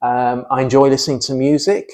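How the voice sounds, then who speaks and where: An English man in his fifties, speaking casually as an interview guest in a measured, hesitant way with many pauses. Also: mid-range voice, level tone, darkish tone. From England, born in Sheffield and later Kent. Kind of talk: casual personal conversation.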